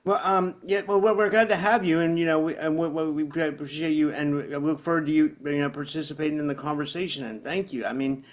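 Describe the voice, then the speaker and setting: Halting, welcoming podcast host man. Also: fairly high pitch, steady tone. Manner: welcoming, halting, repeats words. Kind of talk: hosting a panel discussion.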